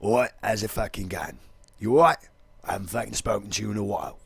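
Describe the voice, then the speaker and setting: A Welsh-accented man putting on a rough London lad with a London accent, coarse and mocking. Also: fairly low pitch, fast talker, no room sound, pitched below the performer's own voice, heavily acted.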